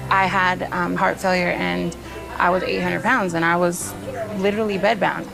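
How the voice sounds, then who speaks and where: A woman's voice: on the low side, little pitch movement, moderate pace, creaky, poor audio. A woman in her thirties, a salon owner and makeup artist, speaking earnestly and from the heart. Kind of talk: casual emotional conversation. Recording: background music under voice.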